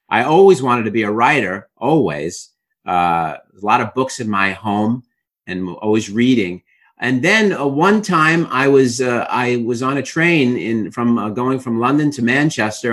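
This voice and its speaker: A middle-aged man with a Brooklyn accent tells his own story to an interviewer. He halts often, filling gaps with "uh" and restarting his sentences, in a relaxed, conversational way. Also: medium pitch. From Brooklyn, New York. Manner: hesitant, many fillers and restarts. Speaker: author and interview guest.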